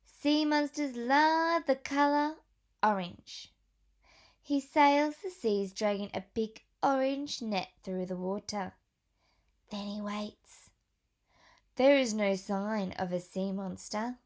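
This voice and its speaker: A woman teacher reading a picture book aloud to young children, pausing for questions and gentle whispered asides. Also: a little high.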